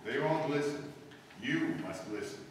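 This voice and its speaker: An actor playing a calm, grave alien visitor in an old-time radio drama on stage, earnest and deliberate. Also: male voice, fairly high pitch, natural voice. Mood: urgent. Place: stage in a hall with audience. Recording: echoey hall from far off, faint hiss.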